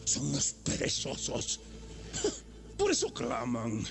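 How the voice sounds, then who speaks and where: A man's voice: mid-range voice, wildly swooping pitch, creaky, fairly bright tone, exaggerated voice. An actor playing an arrogant, mocking, tyrannical Egyptian pharaoh in a theatrical audio Bible drama, drawing out his words with sudden outbursts. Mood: harsh commanding scorn. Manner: theatrical, sneering, drawn-out delivery with sudden outbursts.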